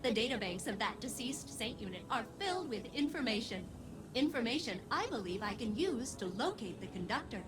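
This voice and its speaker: A woman's voice: fairly high pitch, sing-song, brisk, music in the background. A woman voicing a polite, chipper ship artificial intelligence in a video game, with formal, precise, sing-song phrasing. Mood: bright, matter-of-fact. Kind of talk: video game dialogue acting.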